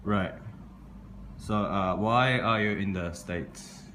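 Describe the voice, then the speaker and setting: A young man with a low voice works as a casual student interviewer. He speaks informally and a bit hesitantly, often affirming what he hears. The recording is echoey, with clear background noise. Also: slow pace, a bit bright.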